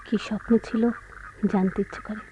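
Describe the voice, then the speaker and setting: A woman's voice: mid-range voice, fairly even intonation, normal speed, lightly acted. A woman playing a hurt, suspicious, proud wife in an emotional radio drama. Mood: probing, hurt. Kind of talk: Bengali radio play acting. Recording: dull, noisy old radio drama recording.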